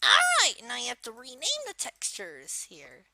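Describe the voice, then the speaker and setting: A woman voicing a cheeky cartoon villain kid, giving a software tutorial in a casual, halting way with filler words. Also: fairly high pitch, wildly swooping pitch, no echo, full character voice. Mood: casual, explaining. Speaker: tutorial presenter.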